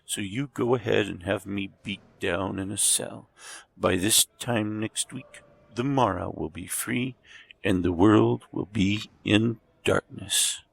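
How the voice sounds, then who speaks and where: Gravelly, fanatical villain doctor. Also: male voice, fairly low pitch, full character voice. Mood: gloating, fanatical. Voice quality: gravelly, husky. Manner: slow, menacing, pompous. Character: fanatical villainous male doctor, smug devoted servant of a demon. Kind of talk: audio drama acting.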